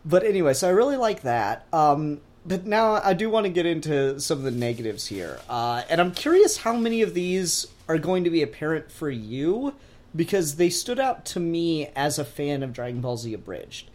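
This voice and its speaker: A man's voice: a little high, very animated, moderate pace, a little mellow. A man hosting a casual fan review discussion, talking in an enthusiastic, opinionated, analytical way. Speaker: podcast host.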